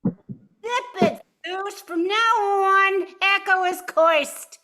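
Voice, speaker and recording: A bossy, jealous wife from a noir radio comedy, played by a woman. The voice is very high and forceful, drawn out at a slow pace, scolding and full of sarcasm. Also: fairly even intonation, full character voice. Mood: angry, vindictive.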